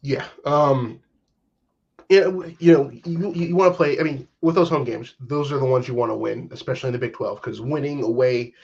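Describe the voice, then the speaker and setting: A man co-hosting a podcast, chatting casually about sports in a hesitant, halting way. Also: medium pitch, breathy edge, muffled recording. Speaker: podcast co-host.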